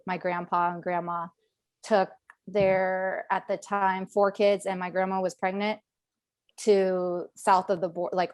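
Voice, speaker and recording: A woman's voice: medium pitch, dry recording. A woman veteran speaking on a video call in a casual webinar panel, chatty with many fillers and restarts. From Northern Virginia, USA.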